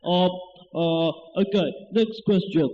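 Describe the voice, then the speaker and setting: High-voiced, flustered quiz host, played by a teenage boy. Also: takes it slowly. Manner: hesitant, chuckling. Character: flustered, chuckling male TV sports quiz host. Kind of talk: amateur radio comedy spoof of quiz hosting. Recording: echoey, muffled, noisy home tape.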